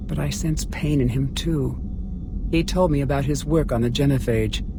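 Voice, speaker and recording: An actor voicing a wise, stoic female alien shaman in a video game, measured and solemn with dry humour. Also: medium pitch, background noise, natural voice. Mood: reflective. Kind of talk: video game dialogue acting.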